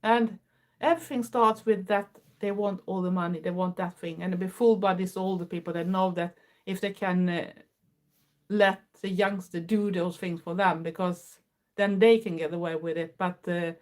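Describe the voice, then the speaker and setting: A Swedish woman in her fifties chats with viewers in English. Her Swedish accent is clear, and her voice sits at an everyday middle pitch. She talks earnestly and unhurriedly, with small slips in her grammar. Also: normal speed, a little mellow. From Sweden. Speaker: livestream host. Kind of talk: casual chat.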